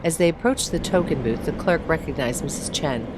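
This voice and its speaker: Female storybook narrator, deep register, reading aloud with faint background noise. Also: expressive, brisk. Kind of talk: scripted short-story narration, read aloud.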